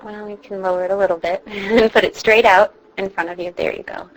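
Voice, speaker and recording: A woman leading a guided meditation in a soothing, reassuring manner, with drawn-out pauses between phrases. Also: mid-range voice, steady tone. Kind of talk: guided meditation, instructing a listener. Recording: clearly noisy background ambience.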